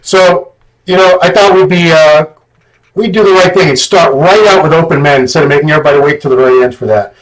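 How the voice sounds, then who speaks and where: A man's voice: on the high side, fast, poor audio. Chatty male podcast host. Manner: relaxed, joking, chatty. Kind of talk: casual podcast chat.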